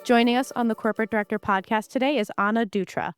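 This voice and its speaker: A woman's voice: a little high, steady tone, fast, over music. A woman hosting a podcast interview, an enthusiastic researcher who introduces her guest and asks questions.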